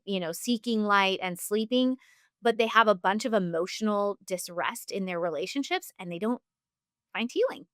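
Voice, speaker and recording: A woman's voice: medium pitch, smooth, no echo, studio quality. A woman speaking as a health practitioner and interview guest, giving long, earnest, instructive explanations.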